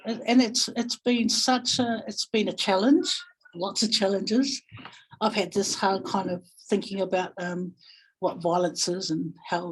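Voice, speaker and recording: A woman with a New Zealand accent speaking reflectively in a webinar, pausing thoughtfully and searching for her words. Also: mid-range voice, slightly rough, low-quality recording. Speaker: guest webinar speaker, family violence practitioner.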